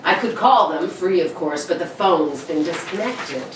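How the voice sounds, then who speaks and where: A woman's voice: fairly low pitch, animated, measured pace. A woman acting a vengeful, slyly genial male stage actor in an old-time radio suspense play, silky and theatrical. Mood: quiet menace. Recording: roomy echo, distant mic, faint noise.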